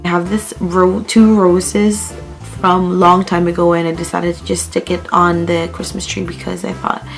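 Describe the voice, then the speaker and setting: A young woman from the Philippines talks through her home vlog in a chatty, easygoing way, with plenty of "like" and "um". Her voice is strained, as she is losing it. Soft music plays faintly underneath. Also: fairly low pitch, little pitch movement, normal speed, creaky. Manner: chatty, with fillers like "like" and "um". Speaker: vlogger. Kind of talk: casual home vlog narration. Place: living room at home.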